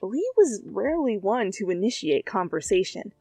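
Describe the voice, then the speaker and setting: A woman reading fan fiction aloud in an expressive, comedic style, with dramatic and conversational asides, close to the mic. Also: medium pitch, normal speed, slightly rough. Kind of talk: expressive, comedic story narration. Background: faint background music.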